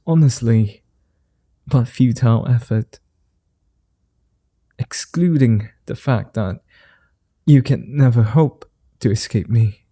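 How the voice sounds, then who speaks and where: A man playing a suave, flirty vampire in an ASMR roleplay, speaking softly in courtly, formal phrases with a gentle, teasing manner. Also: medium pitch, no echo. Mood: coolly teasing. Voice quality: soft, dark, breathy. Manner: courtly formal wording, unhurried pauses, teasing.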